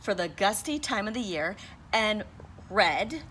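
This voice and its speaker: Expressive woman reading a picture book aloud. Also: mid-range voice, unhurried, a little hiss or hum. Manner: expressive, sounds out and spells words letter by letter. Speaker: teacher.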